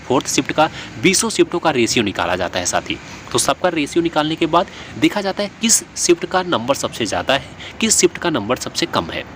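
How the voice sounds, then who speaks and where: A man's voice: on the high side, a bit bright, a little hiss or hum. A man gives an informal explainer lesson, going step by step and repeating himself as he works through examples. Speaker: exam coaching presenter.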